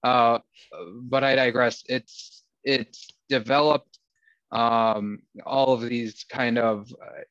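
A man's voice: mid-range voice, steady tone, slow pace, a bit bright, no room sound. A man with a Wisconsin accent, speaking as a guest in candid talk with a sarcastic, dry wit. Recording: dull lo-fi sound, dry.

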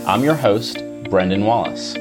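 A man's voice: lowish voice, a little slow, music in the background. A man hosting a podcast, reading an intro and then asking friendly questions. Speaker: podcast host. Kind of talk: scripted intro and interviewing.

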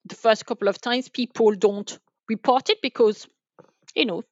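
A woman explaining things as an expert guest in a podcast interview, haltingly, often repeating and rephrasing her phrases. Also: fairly high pitch, a little mellow, no room sound, dull audio. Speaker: guest, enterprise sales manager.

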